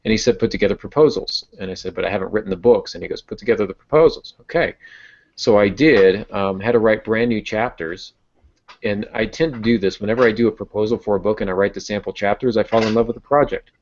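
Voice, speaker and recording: Low-voiced man on a muffled recording. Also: fast, darkish tone. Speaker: novelist and podcast host. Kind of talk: casual podcast talk about writing. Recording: dull, band-limited audio.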